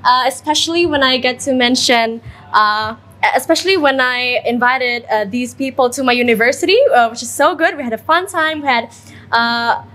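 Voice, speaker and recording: A young woman from Indonesia talking brightly about her program. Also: sits high, moderate pace. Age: young adult. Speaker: student participant. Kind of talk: casual testimonial.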